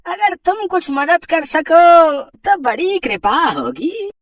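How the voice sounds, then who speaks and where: A woman voicing a greedy, scheming male street vendor in a moral story, with a whiny, drawn-out delivery. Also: very high voice, sing-song, no echo, studio quality, recorded from a distance, pitched above the performer's own voice, heavily acted. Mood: whining, pleading. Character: greedy, scheming male pakora vendor, wheedling then arrogant.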